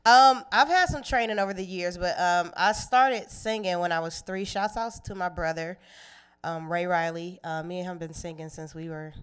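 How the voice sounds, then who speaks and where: A woman's voice: fairly low pitch, moderate pace, clear, resonant tone. A young woman from Cleveland, Ohio, a singer guest chatting casually and playfully in an interview, laughing easily.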